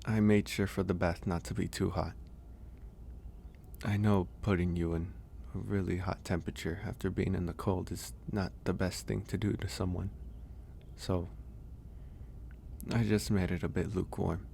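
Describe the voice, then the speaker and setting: Guy playing a soft-spoken teasing werewolf for asmr, really low and gravelly, bit of background noise. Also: flat delivery, moderate pace. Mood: caring. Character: gentle, teasing male werewolf caring for a guest. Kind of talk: intimate ASMR audio roleplay.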